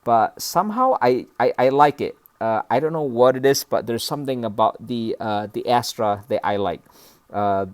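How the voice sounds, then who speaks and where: A man's voice: mid-range voice, normal speed, clear, resonant tone, dry recording. A man from Indonesia hosting a casual, unscripted model car review, speaking hesitantly in short broken phrases and often checking in with a brief questioning tag.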